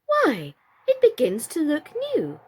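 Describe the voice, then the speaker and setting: A busy, bossy young girl from a children's storybook, voiced by a woman reader. Her voice is raised high and moves with lively intonation. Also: slow, heavily acted. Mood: impressed.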